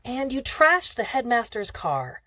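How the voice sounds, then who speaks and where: A woman reading the part of a sharp, guarded teenage girl in a storybook, dry and exasperated in manner. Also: on the high side, very animated, unhurried, pitched above the performer's own voice, exaggerated voice, low-quality recording. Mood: exasperated sarcasm.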